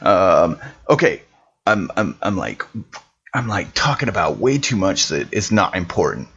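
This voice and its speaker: A man playing an excitable, bewildered biker dude in a tabletop game, rambling through a story in exclamatory slang. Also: sits low, measured pace, vocal fry, muffled recording, heavily acted. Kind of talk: in-character improvised recap, slangy. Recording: noisy home recording, mouth clicks.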